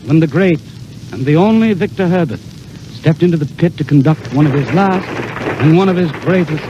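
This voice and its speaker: A man's voice: a little high, lively intonation, moderate pace. A man narrating a 1930s radio show as its announcer, in a dramatic, theatrical showman's style. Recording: noisy, muffled 1932 radio recording.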